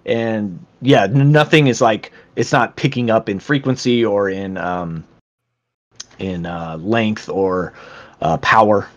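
Guy running a tabletop game, lots of ums, describing caves. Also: mid-range voice. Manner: descriptive, hesitant with fillers. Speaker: game master.

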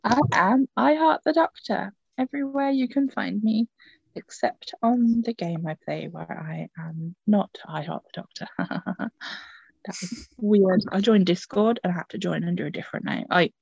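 A woman's voice: medium pitch, normal speed, gravelly, darkish tone, slightly rough, no echo, dull audio. A woman co-hosting a podcast in casual chat, rambling and chatty, laughing often. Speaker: podcast co-host.

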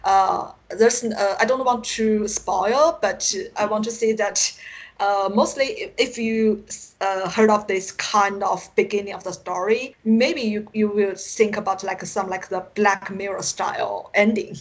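A woman with a Chinese accent speaks as a guest author in an interview. Her English is a second language, so she moves haltingly, pausing to find words, at a middle pitch. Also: fairly even intonation. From China. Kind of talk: interview conversation. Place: remote internet call.

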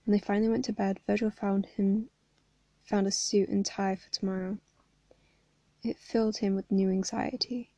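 A young woman, hoarse from hay fever, reading a story aloud and chatting casually between chapters. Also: mid-range voice, level tone, moderate pace, warm tone, no echo, lo-fi sound. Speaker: fan fiction reader.

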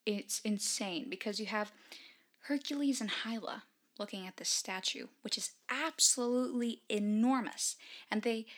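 A woman's voice: mid-range voice, pushed and bright. A woman giving casual solo film commentary in an enthusiastic, emphatic and informal, chatty way. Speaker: film reviewer.

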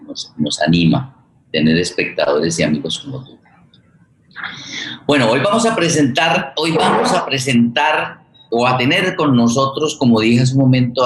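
A middle-aged Colombian man introducing a guest as host, informally, often halting and repeating phrases as he searches for words. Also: mid-range voice, a little hiss or hum. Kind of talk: informal spoken introduction on a live forum. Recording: roomy echo on the voice.

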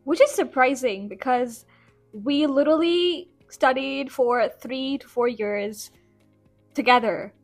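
A woman's voice: high-pitched, slow pace, no echo, faint music behind. A young adult woman hosting a podcast in a chatty, rambling, self-deprecating way. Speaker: podcast host. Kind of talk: casual podcast chat.

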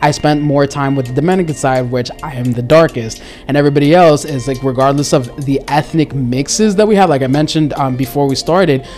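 A woman talking candidly in a casual group discussion, animated and jumping into high exclaimed asides. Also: fairly quick, few pauses, soft background music.

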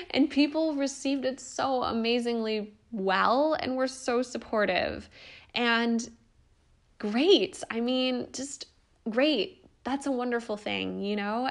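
A young woman hosting a podcast, talking earnestly and encouragingly about life purpose, casual with the odd swear word. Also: mid-range voice. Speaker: podcast host. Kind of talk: spiritual self-help monologue.

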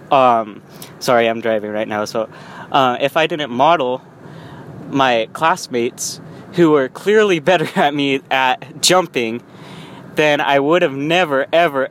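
A man's voice: medium pitch, a bit bright. Young man vlogging while driving. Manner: rambling with frequent restarts and small laughs. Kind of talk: casual vlog monologue. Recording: faint road noise.

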